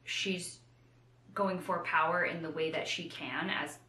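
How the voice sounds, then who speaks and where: A woman's voice: on the low side, steady tone, unhurried, sharp tone, reverberant, low-quality recording. A woman in casual, unscripted chat as co-host of a book show, rambling and self-deprecating, often slipping into acted-out quotes.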